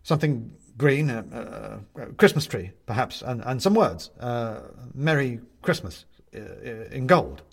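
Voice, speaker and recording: A man's voice: mid-range voice, lively intonation, measured pace, gravelly, few pauses. A man voicing a selfish, panicky storybook character, shrunken and flustered, who stammers and hesitates.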